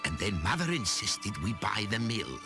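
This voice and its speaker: Haughty, swooping-voiced count. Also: male voice, lowish voice, big pitch swings, normal speed. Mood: smug storytelling. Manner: pompous, theatrical, formal. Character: haughty, boastful nobleman count. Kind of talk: video game cutscene acting. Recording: music behind the voice.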